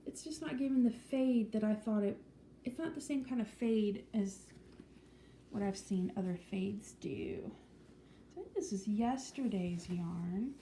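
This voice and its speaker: A woman talks casually to her viewers, wandering through her thoughts with plenty of ums, restarts and the odd whisper. Her voice is very dark and mellow, at a middling pitch for a woman. It is recorded in an echoey room with a faint background hiss. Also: a little slow. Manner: chatty, rambling, frequent ums and restarts; drops to a whisper at times. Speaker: vlogger. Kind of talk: casual vlog chat.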